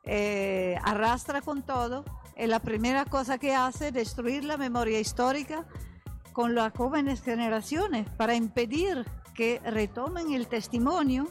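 An older woman with an Italian accent gives a radio interview as a guest. Her voice sits fairly high and she speaks very slowly, searching for words in a language that is not her first. Music plays softly under her voice. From Italy. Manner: halting non-native phrasing, searching for words. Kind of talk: political conversation in a radio interview.

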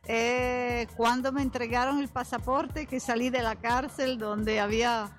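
An older woman from Italy speaking Spanish as a second language with an Italian accent, halting as she searches for words in a radio interview. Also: a little high, steady tone. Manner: halting non-native phrasing, searching for words. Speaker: interview guest, novelist. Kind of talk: political conversation in a radio interview. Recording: music under the voice.

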